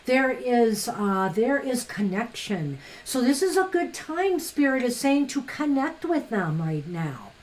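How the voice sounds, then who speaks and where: This woman talks quickly and chattily, and her voice sits a little high for a woman. She co-hosts a live-stream tarot reading, laughing easily between cards. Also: big pitch swings. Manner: chatty, fast-talking, laughs often. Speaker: tarot reader co-host.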